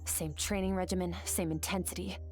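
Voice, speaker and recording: A woman's voice: on the low side, fairly even intonation, fairly quick, darkish tone, soft background music, natural voice. A woman voicing a stoic, disciplined young swordswoman in an anime game, terse and matter-of-fact. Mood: cool, dissatisfied.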